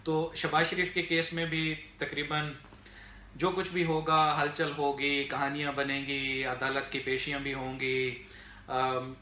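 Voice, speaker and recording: A man with a Pakistani accent giving unscripted political commentary to viewers in a halting, explanatory way, with frequent filler phrases. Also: a little high, little pitch movement, pushed and bright. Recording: echoey room, faint background noise.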